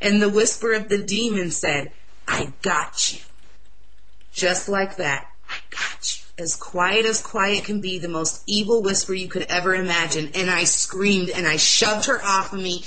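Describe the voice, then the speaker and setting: A young woman with a naturally loud voice tells her religious testimony in an earnest, excitable way. Her tone is bright and sharp, and her pitch sits in the middle of the range. She speaks as an interview guest. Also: a little slow, a little hiss or hum, low-quality recording.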